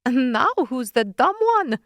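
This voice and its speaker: A woman's voice: sits high, animated, takes it slowly, fairly bright tone, no echo, clean studio sound, voice pushed up, full character voice. A woman voicing a smug, impatient ancient witch who mocks everyone around her, in an audiobook. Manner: smug, taunting.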